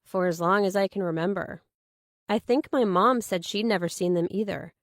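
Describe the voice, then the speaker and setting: A woman's voice: medium pitch, normal speed, no echo, studio quality, lightly acted. Woman voicing a reserved, matter-of-fact young heroine. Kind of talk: audiobook character dialogue.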